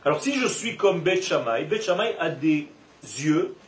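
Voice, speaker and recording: A man with a high-pitched voice gives an animated religious class. He leans on rhetorical questions and stretches words for emphasis. The recording is roomy and echoing, picked up from a distance with faint noise. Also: a little slow. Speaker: religious teacher giving a Torah class. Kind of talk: lively spoken lesson to a live group.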